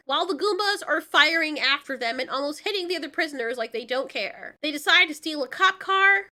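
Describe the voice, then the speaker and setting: Female commentary host, high and forceful, exasperated and sarcastic, casual comedic recap delivery. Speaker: host of a movie commentary channel.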